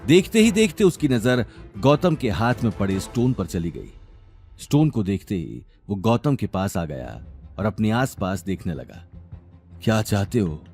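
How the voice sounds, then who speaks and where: A man's voice: lowish voice, very animated, a little slow, a little mellow, faint music behind. A man narrating a scripted audio drama series in a dramatic storytelling style.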